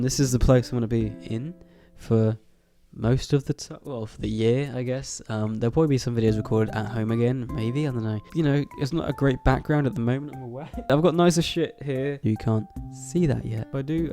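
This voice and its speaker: A young man with a stuffy nose from a cold, rambling casually to camera about moving into his student flat, swearing often and laughing between thoughts. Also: mid-range voice. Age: young adult. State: congested from a cold. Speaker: YouTuber. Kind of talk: casual vlog chat to camera.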